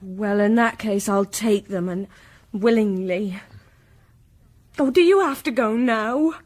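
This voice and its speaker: An actress playing a warm, cheeky, down-to-earth young Victorian woman in an audio drama, teasing and forthright. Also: mid-range voice, slow pace. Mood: teasing, wistful. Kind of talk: period audio drama acting.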